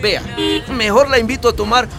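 Radio soap role: gallant, talkative Ecuadorian taxi driver, very high animated voice over music. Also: male voice, a little fast, crowd noise. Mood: cheerful, coaxing. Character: friendly, chatty, gallant male taxi driver. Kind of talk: radio soap opera acting.

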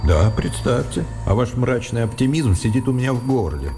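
Excitable fatalistic man, swooping pitch. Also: moderate pace, faint music behind. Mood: bitter, defiant. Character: excitable fatalistic man, blunt with rivals, tender with women. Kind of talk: Russian radio play acting.

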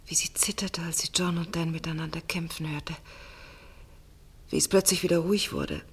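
An actress playing a shaken, grieving daughter in a German radio drama, half-whispering in a breathy voice. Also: female voice, low voice, steady tone, moderate pace, heavily acted. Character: grief-stricken daughter, subdued and shaken.

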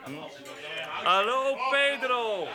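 A man's voice: extremely high pitch, expressive, very slow, a crowd in the background, heavily acted. A male actor playing a sociable, jovial worker at table in a Dutch radio drama, given to exclaiming. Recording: crowded room noise.

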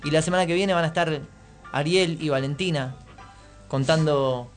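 Man, Argentine accent, slightly high voice, casual radio host, guitar music behind. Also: normal speed.

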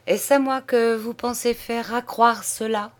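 A woman's voice: a little high, fairly even intonation, a little slow, pitched above the performer's own voice, lightly acted. A haughty old nobleman , as a woman reader puts him on, who speaks with cutting irony and swings from cold scorn to outbursts. His storybook voice carries the weight of an imperious, easily provoked father. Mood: ironic, scornful. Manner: haughty and ironic, flaring into shouts. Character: proud, hot-tempered old male aristocrat.

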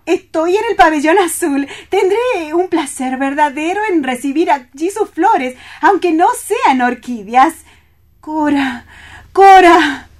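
A dreamy, romantic woman in a radio drama, lost in her fancies. Her high voice moves slowly and haltingly, with theatrical drama in the phrasing. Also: animated. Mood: dreamy courtesy, then frightened agitation.

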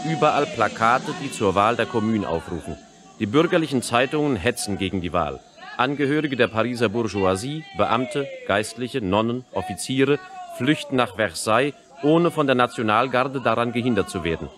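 A man's voice: mid-range voice, expressive, moderate pace, close to an everyday voice. A man narrating a radio drama in a factual, reportorial manner, setting historical scenes in terse scripted phrases. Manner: reportorial, telegraphic delivery of dates and stage directions.

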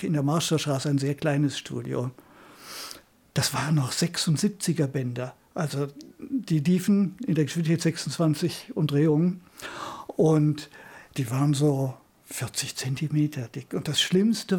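An older man with a husky voice reminisces informally, as in an interview, about his working life in radio. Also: a little high, warm tone. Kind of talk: interview reminiscence, spontaneous storytelling.

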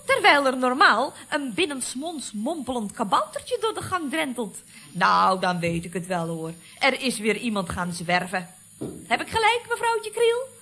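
Bright, husky, chatty secretary. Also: female voice, sits high, sing-song, a little slow, heavily acted. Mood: chatty, knowing. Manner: chatty, confiding, mock-soothing. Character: chatty, knowing female office secretary at a building firm. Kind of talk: 1970s Dutch radio comedy acting.

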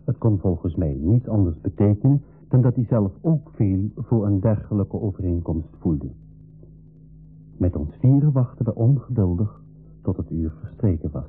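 A man's voice: fairly low pitch, lively intonation, moderate pace, close to an everyday voice. A man playing an earnest narrator who recounts his own adventure in a scripted radio play. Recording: dull old radio recording with music.

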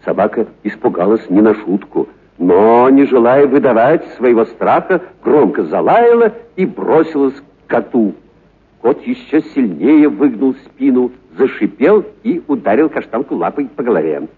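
A man narrating a literary story for radio in an expressive, dramatic actor's delivery. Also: mid-range voice, unhurried, breathy edge. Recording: muffled narrow-band radio recording.

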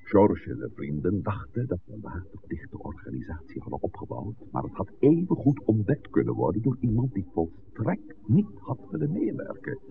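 A very low-voiced village priest, courteous and a little dictatorial, with sweeping, highly animated intonation. It is a vintage radio drama, heard through a narrow, phone-like band with light noise. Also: male voice, moderate pace, creaky, hardly any pauses. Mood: earnest, wry. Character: village priest, genial, somewhat dictatorial older man. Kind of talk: 1960s Dutch radio drama acting.

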